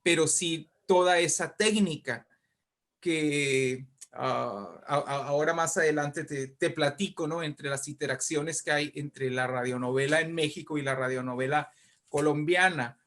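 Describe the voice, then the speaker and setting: Male interview guest, voice on the high side. Animated, conversational storyteller who explains and checks in. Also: a little slow. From Mexico. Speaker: interview guest, writer and poet.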